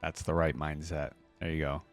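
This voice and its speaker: A man's voice: extremely low pitch, flat delivery, normal speed, soft background music. A man giving casual reaction commentary on game voice lines as a host, musing aloud in loose, informal fragments.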